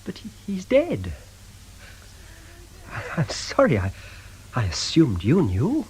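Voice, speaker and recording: An actor playing a mild, apologetic vicar in a radio drama, stammering politely in a husky voice. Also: male voice, fairly high pitch, huge pitch swings, takes it slowly, a little mellow, a little hiss or hum, exaggerated voice, poor audio. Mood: hesitant. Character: flustered, apologetic, mild-mannered male locum vicar.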